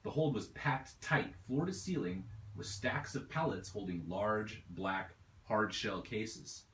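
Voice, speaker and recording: A man reading his own novel aloud in steady, measured audiobook narration. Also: lowish voice, echoey room, slight background noise, low-quality recording.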